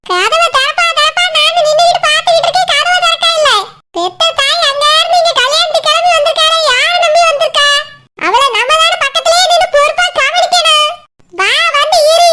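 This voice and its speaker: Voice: very high-pitched, pushed and bright. A performer voicing an aggrieved, melodramatic village mother in a comic cartoon, with a Kanyakumari Tamil accent. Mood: indignant. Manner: complaining, melodramatic. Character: aggrieved, loud-mouthed village mother of grown daughters.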